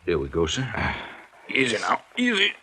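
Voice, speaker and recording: A man playing an anxious, fussing household servant in a radio drama, husky, polite and hesitant, often repeating himself. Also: lowish voice, huge pitch swings, moderate pace, pushed and bright, exaggerated voice. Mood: soothing, deferential. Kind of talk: 1950s radio drama acting.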